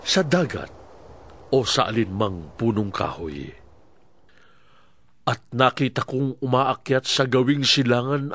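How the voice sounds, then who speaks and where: A man reading dramatic Bible narration in a solemn, deliberate manner. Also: medium pitch, slight background noise.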